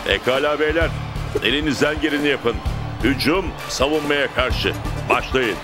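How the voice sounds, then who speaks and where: A man's voice: mid-range voice, moderate pace, natural voice. A man playing a firm, encouraging football coach in a Turkish cartoon dub, instructing and motivating. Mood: instructive. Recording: loud music behind.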